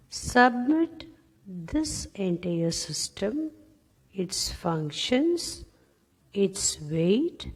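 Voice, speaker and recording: A woman with a slightly low voice leads a guided relaxation at an extremely slow pace. She gives calm instructions in short phrases with space between them, and a long reverb trails her voice. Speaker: yoga nidra meditation guide. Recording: long reverberant hall-like echo.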